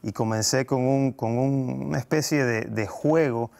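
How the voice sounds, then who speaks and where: A man, giving a conversational interview in a halting way, repeating phrases, on a lapel mic in a studio. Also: medium pitch, gravelly, darkish tone. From El Salvador. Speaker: guest, actor and film producer. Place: TV studio set.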